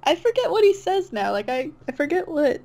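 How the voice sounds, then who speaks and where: A woman's voice: high voice, big pitch swings, fairly quick, gravelly, darkish tone. A woman chatting casually as an interview guest, agreeable and hesitant, often trailing off. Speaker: interview guest, online comic dub creator. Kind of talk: casual chat.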